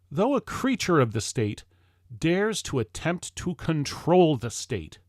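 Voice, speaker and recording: High-pitched man reading formal oratory. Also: no room sound, studio quality. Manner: solemn, declamatory, measured with deliberate pauses. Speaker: reader of a historical speech. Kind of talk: formal oratory read aloud from a script.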